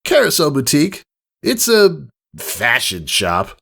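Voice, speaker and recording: A man's voice: fairly high pitch, huge pitch swings, slow, gravelly, fairly bright tone, slightly rough, pitched above the performer's own voice, full character voice. A man voicing a brash, cocky young female pegasus pony with casual, slangy talk in a storybook reading. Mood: casual, chatty. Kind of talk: character voice in fan fiction reading.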